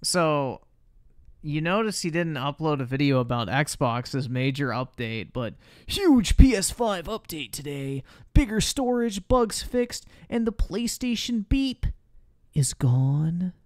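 A man's voice: on the high side, big pitch swings, takes it slowly. A man doing casual live-stream reaction commentary, blunt and slangy with frequent swearing. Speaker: livestreamer reacting to videos.

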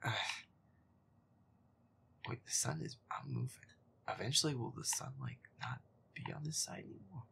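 A man's voice: mid-range voice, wildly swooping pitch, moderate pace, gravelly, long pauses, bright, forceful tone, a little hiss or hum, exaggerated voice. A man voicing a feisty, foul-mouthed young boyfriend, grumbling and exasperated, in a gameplay audio roleplay. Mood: muttering, annoyed. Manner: grumbling, profane, exasperated, often muttering under breath. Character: feisty foul-mouthed werewolf boyfriend grudgingly playing scary game.

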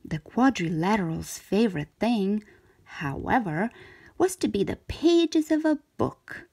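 A woman reading a children's picture book aloud in expressive narration, drawing out key words for emphasis. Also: mid-range voice, unhurried, slightly rough. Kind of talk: expressive scripted storybook narration for young children.

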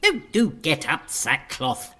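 Woman playing a harried, sharp-voiced snooty lord. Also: low voice, huge pitch swings, slow, husky, exaggerated voice. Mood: impatient, evasive. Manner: impatient, exasperated. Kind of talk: comic audio drama acting.

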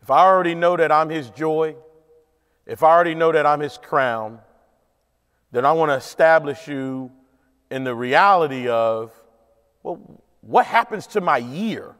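A middle-aged man preaches slowly from a church stage, his voice pitched high. He leans on key phrases and repeats them for emphasis, and the big hall adds a long reverb. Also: a bit bright. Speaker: pastor. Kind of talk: sermon. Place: large church auditorium stage.

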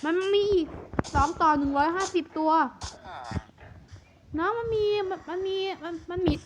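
A man voicing an excitable, bossy big-brother figure in a Minecraft cartoon, calling out warnings to his sibling. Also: extremely high pitch, moderate pace. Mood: excited. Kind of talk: cartoon narration. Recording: noisy recording.

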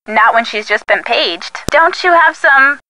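Bright, high-voiced wry young sidekick. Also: female voice, lively intonation, a little slow, a little hiss or hum, heavily acted, poor audio. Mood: wry, encouraging. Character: George, wry, loyal young female sidekick detective. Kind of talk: video game voice acting.

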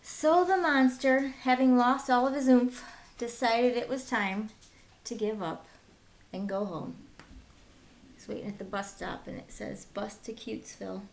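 A woman in her thirties reading a picture book aloud as a teacher, with simple, deliberate phrasing for young children and short asides. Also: a little high, fairly even intonation, gravelly, a little hiss or hum. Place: small room at home, indoors.

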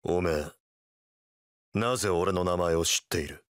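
A cool young tough talks low and unhurried. He is gruff, blunt and deadpan, an anime game character recorded dry over faint music. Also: no room sound. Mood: cool, curt.

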